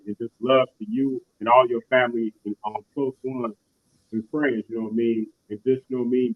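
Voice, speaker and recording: A man hosting an interview show, talking in rambling casual phrases and often saying "you know what I mean". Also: medium pitch. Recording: tinny phone-like line.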